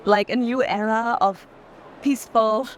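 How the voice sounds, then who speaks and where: A woman's voice: a little high, fairly even intonation. Reflective woman speaking over faint ambient noise. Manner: halting, frequent use of "like". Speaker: curator in conversation. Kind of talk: casual reflective conversation about art. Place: art fair. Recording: noisy fair hall ambience.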